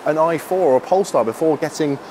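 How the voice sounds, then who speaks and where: A man's voice: fairly high pitch, fairly quick, warm tone, slight background noise. Chatty young British man reviewing a car. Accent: British English. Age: young adult. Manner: chatty, wry, opinionated. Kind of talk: casual presenting to camera. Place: inside a car while driving, later outdoors at a charger.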